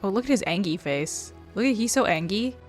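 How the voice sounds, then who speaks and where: A woman's voice: medium pitch, animated, fairly quick, faint music behind. A woman streamer giving casual gameplay commentary in a rambling, playful manner. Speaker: streamer playing a game.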